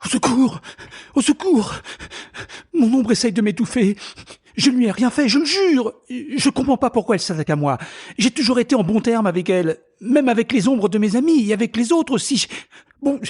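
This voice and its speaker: A man's voice: very high voice, expressive, moderate pace, a little mellow, husky, heavily acted. An actor playing an anxious, self-deprecating man of thirty-one who tells his own story in a theatrical audio drama. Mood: panicked, pleading. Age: 30s. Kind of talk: first-person audio drama narration.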